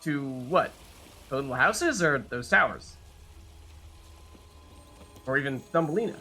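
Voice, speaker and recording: A man's voice: mid-range voice, lively intonation, measured pace, sharp tone, slight background noise. A man giving casual live commentary on a video game, chatting and thinking aloud as he plays. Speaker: game streamer, host.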